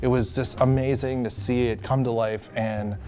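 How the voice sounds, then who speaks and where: A man gives his opinions in a casual panel chat. His voice sits at an average pitch and he leans on repeated words for stress. Faint music runs behind him. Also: steady tone, moderate pace, darkish tone. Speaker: panel guest. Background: faint background music.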